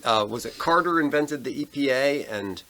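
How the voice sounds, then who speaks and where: A man's voice: mid-range voice, lively intonation, normal speed, pushed and bright. An American man co-hosting a podcast, explaining and discussing politics in a casual, conversational way. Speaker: podcast co-host.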